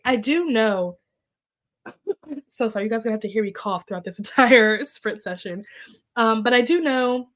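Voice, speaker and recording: A young woman hosting a casual livestream, chatty and full of fillers, sounding congested and a little coughy as she recovers from being sick. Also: on the high side. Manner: chatty, filler-heavy, reacts to comments. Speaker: livestream host. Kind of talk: casual livestream chat, reading viewer comments. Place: at home. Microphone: desk microphone.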